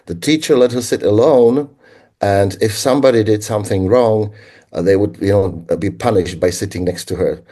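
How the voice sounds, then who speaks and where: A man from the Czech Republic with a Czech accent, speaking English as a guest in an interview, reflective and halting with frequent pauses. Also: lowish voice, dark tone. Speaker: guest linguist. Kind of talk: conversational interview answers.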